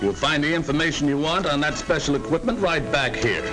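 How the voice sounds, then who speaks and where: An actor playing an earnest middle-aged American sales manager in a 1940 training film, speaking in a firm, instructive way. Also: male voice, a little high, lively intonation, fairly quick, over music, lightly acted. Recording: noisy old 1940 film soundtrack.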